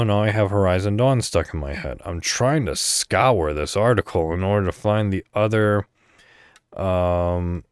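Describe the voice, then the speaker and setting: A man's voice: low-pitched, no room sound, clean studio sound. A man hosting a casual solo podcast, rambling as he thinks aloud and swearing offhandedly. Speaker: podcast host.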